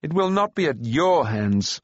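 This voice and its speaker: A proud young farm lad from a fantasy storybook, read by a man, indignant and ready to stand up for himself. His middle-pitched voice sits close and dry. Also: lively intonation, moderate pace, no echo, dull audio, close to an everyday voice. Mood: hotly defiant. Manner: indignant, defiant. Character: proud, hot-tempered teenage farm boy, assistant pig keeper.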